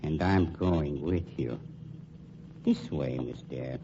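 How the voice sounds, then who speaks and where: An actor playing a coolly menacing funeral home owner with silky, formal politeness in an old-time radio crime drama. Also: male voice, very deep voice, slow, gravelly, heavily acted. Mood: smooth, mocking. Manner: formal, silkily polite, deadpan. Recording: muffled, noisy old radio recording.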